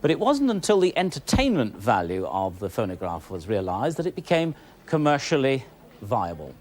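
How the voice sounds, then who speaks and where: British man presenting with lively intonation. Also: mid-range voice, moderate pace, slight background noise. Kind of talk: television history programme narration.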